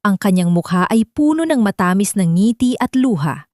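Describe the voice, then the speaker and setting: A woman's voice: mid-range voice, lively intonation, moderate pace, mellow, dry recording, clean studio sound. A woman narrating a dramatic audio love story as scripted storytelling.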